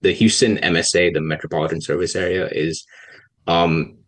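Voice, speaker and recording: A young man from Pakistan, a policy researcher, answering questions in a casual podcast interview in a soft-spoken, hesitant way with many pauses. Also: deep voice, fairly even intonation.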